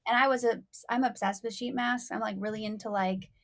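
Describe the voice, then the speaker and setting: A woman's voice: a little high, fairly even intonation, fairly quick, a bit bright. A young woman, a rapper and songwriter, talking casually as an interview guest, bubbly and full of "like" and "you know.".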